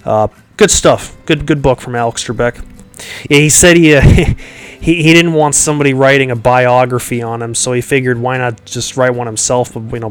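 A man hosting a podcast in casual, rambling chat, with a nasal, congested-sounding voice and lots of fillers and restarts. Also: medium pitch. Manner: rambling, many fillers and restarts, chuckles often. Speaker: podcast host.

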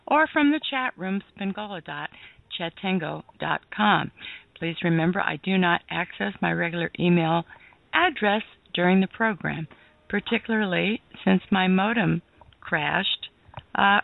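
A woman hosting a talk radio show with a gravelly edge to her voice. She reads her opening in a measured, deliberate way, close and dry. The sound is thin and narrow, like a phone line. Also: medium pitch, no room sound. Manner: measured, deliberate reading of contact details. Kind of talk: scripted show opening, then casual chat.

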